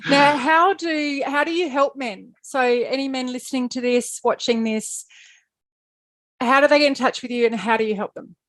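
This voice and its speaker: A woman hosting a podcast, interviewing a guest with encouraging, quick affirmations. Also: high voice, fairly even intonation, a bit bright, no room sound, poor audio. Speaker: podcast host.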